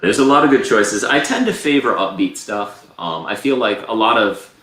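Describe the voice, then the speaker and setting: A man with a deep voice chatting casually as a guest on a video call, relaxed and rambling with many fillers. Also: moderate pace, reverberant, poor audio. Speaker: guest presenter.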